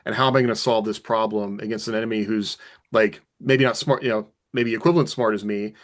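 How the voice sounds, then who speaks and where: A man's voice: on the low side, fairly even intonation, fast. A man co-hosting a casual panel discussion about writing, thinking aloud with enthusiasm. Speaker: podcast co-host and author.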